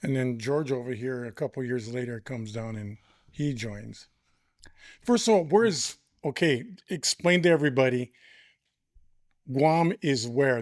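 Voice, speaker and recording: A man's voice: medium pitch, no room sound. Friendly male interviewer, dry sound. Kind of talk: casual interview questions.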